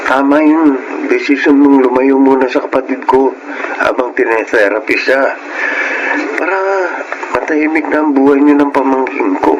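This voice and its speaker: An actor with a Filipino accent playing a gentle, concerned young brother-in-law, hesitant and respectful, in a radio melodrama. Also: male voice, medium pitch, fairly even intonation, moderate pace, pauses often, muffled recording, noisy background, natural voice. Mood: concerned, resigned.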